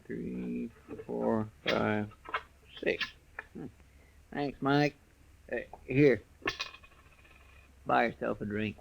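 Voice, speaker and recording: An actor playing a folksy, earnest and deferential American sidekick to a frontier marshal in a 1950s radio western. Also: male voice, medium pitch, steady tone, very slow, gravelly, long pauses, lo-fi sound, heavily acted. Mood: puzzled. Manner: folksy, deferential, rustic drawling phrasing. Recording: faintly noisy vintage radio recording.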